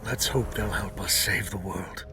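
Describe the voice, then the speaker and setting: A man playing a wry, world-weary traveler who narrates a game story in a hushed manner. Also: on the low side, unhurried, music in the background, natural voice. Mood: wry, brooding.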